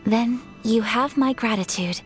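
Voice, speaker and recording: A fairly high young female voice, a noblewoman played by an actress from a video game. She speaks with measured, courteous formality and earnest dignity. Music plays underneath. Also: close to an everyday voice. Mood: composed, gracious. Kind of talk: video game character dialogue.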